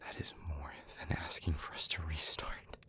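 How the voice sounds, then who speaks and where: A man playing an anguished, protective demon pleading with a former lover in an intimate audio roleplay, husky and breathy, halting and stammering in his earnestness. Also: a bass voice, fairly even intonation, fairly bright tone, in a whisper. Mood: desperate, pleading.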